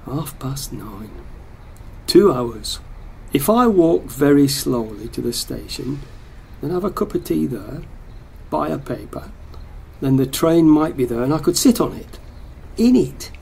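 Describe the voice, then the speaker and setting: A man's voice: mid-range voice, sing-song, measured pace, creaky, a little mellow, slightly rough, heavily acted. An actor playing a hesitant, lonely man muttering and deliberating to himself in a radio play. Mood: resigned.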